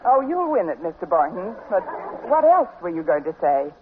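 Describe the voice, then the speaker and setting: An actress playing a wry, lovestruck high school English teacher with dry, wisecracking delivery in a 1950s radio comedy. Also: female voice, very animated, brisk. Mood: eager, hopeful. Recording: noisy, tinny old radio broadcast.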